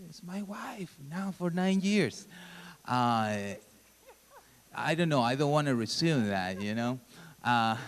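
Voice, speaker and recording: A man in his thirties from Argentina, a native Spanish speaker with an Argentine accent, giving an informal mission talk at church in halting, simple English. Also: mid-range voice. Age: 30s. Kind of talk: church presentation. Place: church sanctuary. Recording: long hall reverb.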